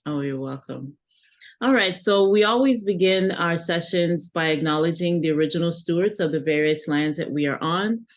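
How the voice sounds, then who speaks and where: A man's voice: a little high, normal speed. A man hosting an interview, effusive and heavy on "you know" fillers. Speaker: interview host.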